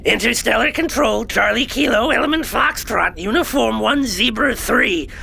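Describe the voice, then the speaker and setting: A man's voice: very high-pitched, animated, moderate pace, creaky, noisy background, exaggerated voice. A man voicing a whiny insect alien pilot. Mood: businesslike. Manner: whiny, complaining. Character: whiny put-upon male insectoid pilot.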